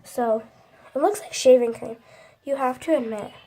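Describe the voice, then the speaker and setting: High-voiced girl with a stuffy cold. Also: moderate pace, warm tone. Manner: rambling, many likes and restarts. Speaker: vlogger. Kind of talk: casual chat to camera. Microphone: phone.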